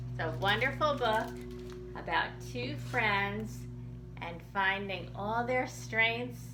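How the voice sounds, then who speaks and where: A woman reading aloud as a children's library storyteller, expressive and animated, in simple child-directed language. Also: mid-range voice. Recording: roomy echo with background noise.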